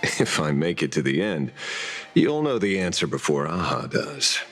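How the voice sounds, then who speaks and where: Game detective, male: wry vigilante type, very animated intonation, teasing and theatrical. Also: very deep voice, moderate pace, soft background music, exaggerated voice. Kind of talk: video game story dialogue.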